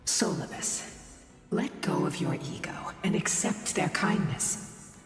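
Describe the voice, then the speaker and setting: Game role: wise spirit, lowish and lively, very echoey from afar. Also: female voice, slow, in a whisper. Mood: calm. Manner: calm, hushed, knowing. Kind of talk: video game voice acting. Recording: very echoey, distant, faint music.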